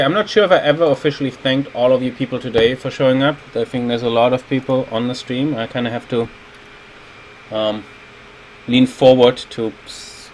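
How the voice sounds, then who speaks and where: A man's voice: medium pitch, a little hiss or hum, low-quality recording. A man in his thirties with a German accent, a native German speaker, giving casual livestream game commentary as a level designer and host. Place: indoors at a computer, streaming.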